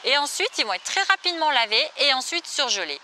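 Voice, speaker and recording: Bright, chatty French woman vlogger. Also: high-pitched, big pitch swings, brisk, a little hiss or hum. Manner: chatty, full of fillers and restarts. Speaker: fitness and nutrition vlogger. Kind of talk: casual talk to camera.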